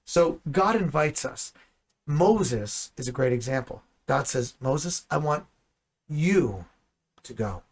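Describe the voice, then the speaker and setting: A man in ministry teaching the Bible in conversation, animated and full of rhetorical questions. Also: medium pitch, slow, breathy edge. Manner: animated, asks rhetorical questions, acts out dialogue.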